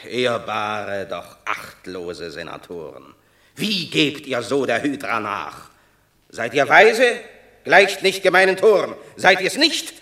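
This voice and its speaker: Haughty Roman warrior, bright declaiming voice, roomy radio play sound. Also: male voice, medium pitch, animated, slow. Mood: scornful. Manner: scornful, declamatory. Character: proud contemptuous Roman war hero. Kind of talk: classic stage radio drama.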